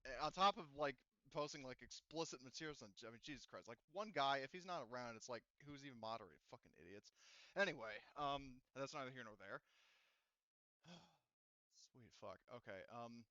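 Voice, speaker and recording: Bright-voiced man running a tabletop game. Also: mid-range voice, animated, fairly quick, clear, resonant tone, dry recording, highs cut off. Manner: rambling, frequently swearing. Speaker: game master. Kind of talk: casual tabletop game chat and narration.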